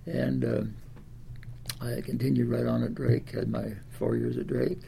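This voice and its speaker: A very old man from Iowa, ninety years of age, recalls his life in a gravelly, mellow voice. He rambles along with fillers and restarts. Faint room ambience sits behind him. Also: medium pitch, little pitch movement, unhurried, a little hiss or hum. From Des Moines, Iowa. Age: 90s. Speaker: interviewee. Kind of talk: oral history interview.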